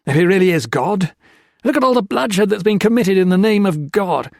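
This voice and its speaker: A man reading a story voices a young officer who rants and shouts in hysterical fury. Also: high voice, animated, normal speed, vocal fry, dry recording, studio quality, pitched above the performer's own voice. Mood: raging. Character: young British officer, feverish, furious, ranting.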